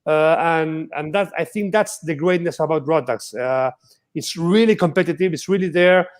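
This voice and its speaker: A man representing a karting team as an interview guest, speaking haltingly with non-native phrasing. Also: on the high side.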